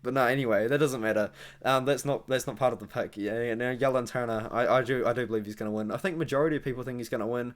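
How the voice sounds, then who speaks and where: A man's voice: medium pitch, fairly even intonation, fast talker. Chatty New Zealand man predicting fights. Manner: chatty, rambling, self-correcting, with asides to viewers. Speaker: MMA analysis channel host. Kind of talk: casual fight prediction monologue.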